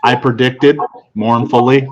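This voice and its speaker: Guy reading a kids novel out loud, average pitch, narrator style. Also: fairly even intonation, a little slow, highs cut off. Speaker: audiobook narrator. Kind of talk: scripted story narration.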